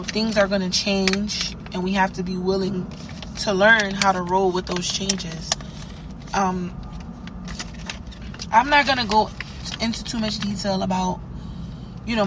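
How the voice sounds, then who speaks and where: A young woman, hoarse for days, hosting a podcast and giving rambling, conversational spiritual advice with plenty of fillers. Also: medium pitch, noisy background. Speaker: podcast host. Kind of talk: casual spiritual advice monologue.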